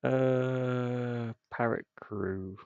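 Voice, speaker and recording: A man with a gravelly voice rambles and mutters to himself, thinking aloud casually as he streams his game development work. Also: medium pitch, fairly even intonation, drawn-out words, a little mellow, no echo. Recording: dull, muffled, dry lo-fi microphone.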